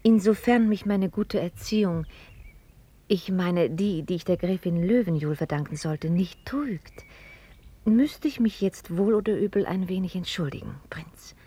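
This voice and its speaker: An actress playing an outspoken young heiress in a radio drama, wry, articulate and playfully self-mocking. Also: female voice, lowish voice, unhurried, dark tone, slightly rough. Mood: playfully apologetic. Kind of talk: German radio drama acting.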